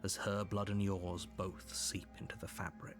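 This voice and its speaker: An actor voicing the calm, wry narrator of a dark video game, speaking in a measured, faintly sardonic way. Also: male voice, deep voice, faint music behind, lightly acted.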